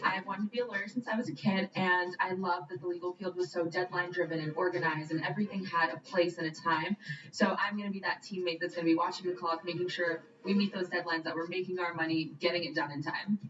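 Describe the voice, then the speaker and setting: A woman's voice: on the low side, steady tone, quick pace, a crowd in the background. Woman, 20s, from California, game show contestant, muffled far-off audio. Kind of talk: scripted contestant intro. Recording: distant, muffled, roomy TV audio.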